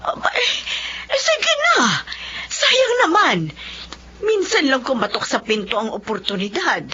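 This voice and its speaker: Radio drama mother: poor, worried, apologetic, bright emotional voice, dull recording. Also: high voice, sing-song, a little slow, full character voice. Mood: hopeful, excited. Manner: emotional, plaintive. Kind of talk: Filipino radio drama acting.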